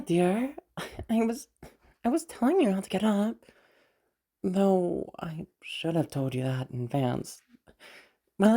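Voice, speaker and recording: A woman playing a kindly, teasing young stranger in an intimate audio roleplay, speaking casually and hesitantly with a husky, creaky voice. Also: on the low side, warm tone, full character voice. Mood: soothing, careful. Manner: hesitant, chuckling often, casual swearing. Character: kindly, teasing young female stranger comforting someone upset. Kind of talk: improvised-sounding intimate audio roleplay, one side of dialogue.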